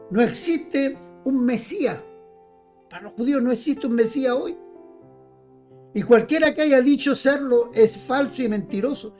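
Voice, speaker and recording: The mellow voice of an evening online church broadcast, preaching insistently to the faithful while a guitar plays faintly behind. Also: medium pitch. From Chile. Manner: emphatic, direct address to listeners, repeats phrases. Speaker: evangelical preacher. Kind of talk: informal Bible teaching.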